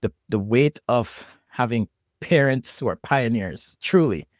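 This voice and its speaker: A man's voice: mid-range voice, expressive, measured pace, dry recording, clean studio sound. A man from Jamaica with a Jamaican accent, talking reflectively as a podcast guest, often restarting and repeating words as he thinks. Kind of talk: casual conversation.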